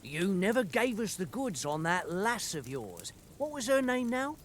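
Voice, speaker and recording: An actor playing a boisterous soldier who loves drink and women, brash and rowdy. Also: male voice, high voice, sing-song, measured pace, slight background noise.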